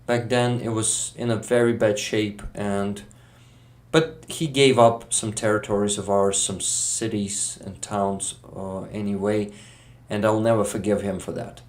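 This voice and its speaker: Slow, halting Ukrainian-accented man. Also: fairly low pitch, level tone. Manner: slow, halting, many fillers and restarts. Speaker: guest. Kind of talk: casual interview conversation.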